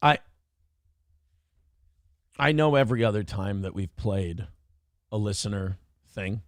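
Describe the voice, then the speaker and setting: A man's voice: fairly low pitch, unhurried, no echo, studio quality. A man hosting a morning show, bantering casually and profanely, rambling and exasperated as he reads out donor messages.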